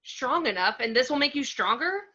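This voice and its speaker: A woman playing a feisty, determined superhero wife and mother in a dramatic read of an animated film script, forceful and insistent. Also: high-pitched, normal speed, lo-fi sound, heavily acted. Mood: indignant.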